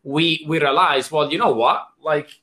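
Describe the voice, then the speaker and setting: A man's voice: a little high, unhurried, sharp tone, reverberant. A man with a Swiss accent answering interview questions as a business coach, earnest and explanatory. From Switzerland.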